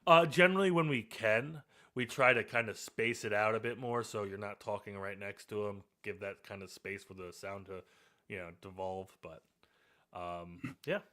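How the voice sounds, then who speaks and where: A man's voice: lowish voice, moderate pace. A man hosting a podcast after-show, talking casually and rambling with fillers. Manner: relaxed, rambling, many fillers. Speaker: podcast host.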